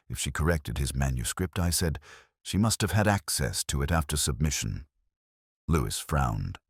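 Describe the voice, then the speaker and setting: A man reading a scripted first-person detective story in a measured, literary, brooding manner. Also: a bass voice, dry recording, clean studio sound. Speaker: narrator.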